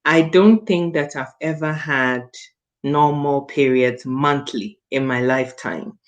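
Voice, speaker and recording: A woman's voice: rumbling low, slow pace, muffled recording. A woman in her late thirties giving a conversational personal testimonial as a guest, stressing her points emphatically with repeated phrases. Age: late 30s.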